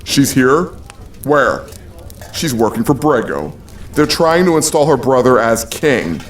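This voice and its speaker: An actor playing a big, gruff, streetwise protector with a blunt, commanding manner in an audio drama. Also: male voice, mid-range voice, sing-song, a little slow, soft background music. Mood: conspiratorial.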